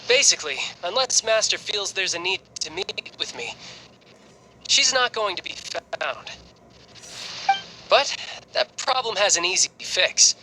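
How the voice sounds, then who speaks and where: An actor playing a cocky, cheerful young warrior in a video game, breezy, playful and eager for a fight. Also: male voice, high voice, lively intonation, measured pace, long pauses, noisy background. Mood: earnest, determined. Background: game music.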